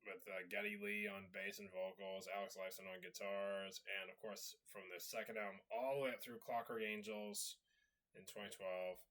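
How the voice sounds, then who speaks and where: A man hosting a casual rant video at home, slightly raspy and sniffly from a cold, rambling with frequent fillers and repeated "so yeah". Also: on the low side, a bit bright. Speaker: video host.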